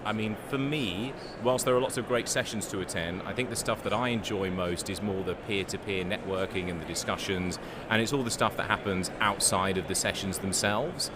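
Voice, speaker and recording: A man's voice: lowish voice, fairly quick. A middle-aged man chatting casually as an interview guest. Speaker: interview guest, business founder. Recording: clear urban background noise.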